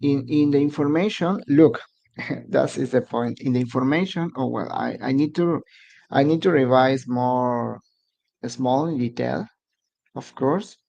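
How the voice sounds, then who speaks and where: Slow, gravelly Mexican man hosting a livestream. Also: mid-range voice, darkish tone, lo-fi sound. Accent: Mexican Spanish. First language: Spanish. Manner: halting, restarting, searching for English words. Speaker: immigration advice channel host. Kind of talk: casual live-stream talk to viewers.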